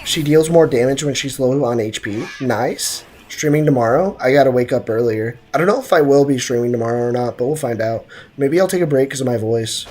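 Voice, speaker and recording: A young man streaming a video game, giving excitable, joking commentary and answering his chat. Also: medium pitch, fairly quick, few pauses. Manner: excitable, joking, trash-talking. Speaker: gaming streamer. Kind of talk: live game commentary, chatting with viewers.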